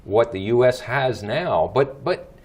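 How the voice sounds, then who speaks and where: A man's voice: medium pitch, animated, unhurried, a little mellow, breathy edge. A middle-aged man hosting a local talk show, emphatic and repeating his points to viewers. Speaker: host.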